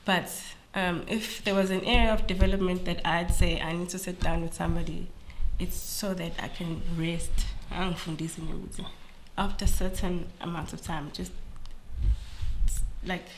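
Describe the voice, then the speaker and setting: A woman's voice: fairly low pitch, normal speed, slight background noise, low-quality recording. A woman, a school principal, speaking earnestly in an interview, sounding nasal from a flu. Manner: earnest, animated, mixes in Zulu phrases. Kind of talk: interview conversation.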